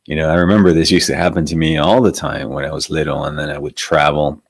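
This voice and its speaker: A man's voice: very deep voice, level tone, quick pace, creaky, breathy edge, no room sound. A man from Venezuela, giving a reflective spiritual talk as a meditation teacher and often asking rhetorical questions. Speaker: meditation teacher hosting a live stream.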